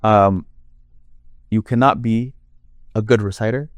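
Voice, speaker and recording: A man with a deep, low-set voice talks through his points in an easy conversational way, stacking up long examples to make each one clear. The sound is dry and close, with almost no room around it. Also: steady tone, unhurried, dry recording. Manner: explains with long worked examples. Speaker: Quran reciter and guest. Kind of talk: conversational interview.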